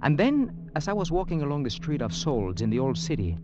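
An actor playing a sly, courtly Cuban schemer in an old radio drama, with a Cuban Spanish accent and florid, unctuous politeness. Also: male voice, fairly high pitch, sing-song, brisk, over music. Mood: wry narrating. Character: sly, courtly, talkative Cuban schemer, a small-time rogue. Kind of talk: 1940s-50s radio drama acting and first-person narration. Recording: muffled old radio broadcast.

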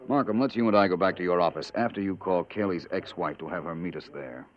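A man acting a cool American private detective from an old radio mystery. The voice is very low, measured and articulate, with dry self-assurance. The sound is muffled and dated. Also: close to an everyday voice. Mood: dry, analytical.

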